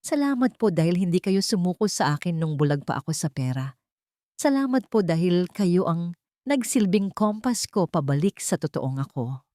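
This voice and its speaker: A woman's voice: on the low side, sing-song, moderate pace, warm tone, no room sound, studio quality. A woman with a Filipino accent voicing an earnest, forgiving grown son in an audio drama, solemn and heartfelt. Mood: grateful. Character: earnest repentant son, adult man, forgiving.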